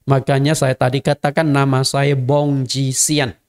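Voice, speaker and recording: A man's voice: mid-range voice, flat delivery, a little slow, a little mellow, clean tone. A middle-aged man from Indonesia, a native Hakka speaker, giving a conversational sermon as a pastor and speaking directly to his congregation. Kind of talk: sermon teaching.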